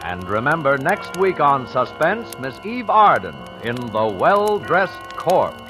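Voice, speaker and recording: A man announcing a mid-century radio suspense show in a formal, dramatic, measured manner. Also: medium pitch, very animated. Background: music.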